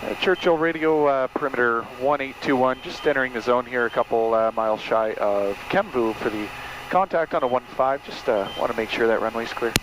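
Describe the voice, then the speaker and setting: A man's voice: medium pitch, fairly quick. Man on a noisy cockpit intercom. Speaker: airline first officer on cockpit intercom and radio. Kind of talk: clipped cockpit checklist and radio calls. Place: small turboprop cockpit in flight. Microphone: aviation headset. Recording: noisy aircraft intercom feed with engine drone.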